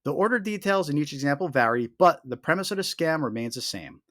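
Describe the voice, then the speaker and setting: Male explainer host, slightly high voice, lively intonation, emphatic and deliberate scripted delivery. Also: no echo, studio quality. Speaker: host of a scam awareness video.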